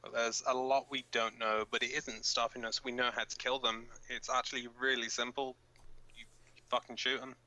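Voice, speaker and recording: A man's voice: mid-range voice, little pitch movement, moderate pace, pushed and bright, rough recording. A man playing a blunt, cynical resistance fighter co-hosting an underground broadcast in a radio drama, speaking informally. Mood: blunt, defiant.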